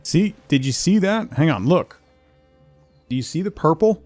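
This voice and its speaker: A man's voice: fairly high pitch, lively intonation, normal speed, a little mellow, no echo. A man chatting casually as he streams a game, thinking aloud in short fragments. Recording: music behind the voice. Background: music.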